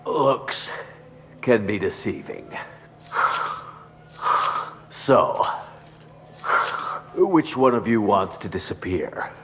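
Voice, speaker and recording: Slow, oily crook. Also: male voice, fairly low pitch, animated, a little hiss or hum, full character voice. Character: sleazy male criminal fixer.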